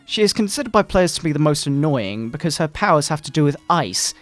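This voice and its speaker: Man putting on a pompous, high-pitched fire-and-brimstone moral crusader. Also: sing-song, fairly bright tone, soft background music. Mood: scornful, preachy. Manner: pompous, melodramatic preaching with drawn-out words. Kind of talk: mock-dramatic satirical reading.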